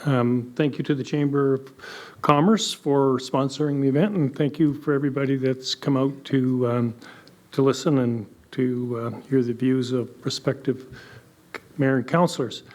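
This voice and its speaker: A man's voice: mid-range voice, steady tone, moderate pace, darkish tone. An older man born and raised in Canada, giving a friendly candidate speech with frequent ums. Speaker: council candidate.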